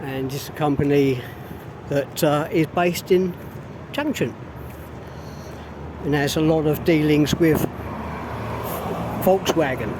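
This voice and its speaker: A man casually vlogging as he walks outdoors along a city street, rambling with pauses and restarts, his voice a little hoarse. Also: a little high. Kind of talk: casual walking vlog. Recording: street traffic noise behind. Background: traffic noise.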